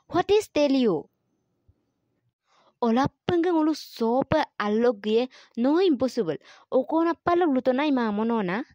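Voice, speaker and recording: A woman's voice: high voice, darkish tone, heavily acted. A woman voicing a chatty village woman in an amateur cartoon. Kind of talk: amateur cartoon voice-over dialogue. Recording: muffled lo-fi sound with roomy echo.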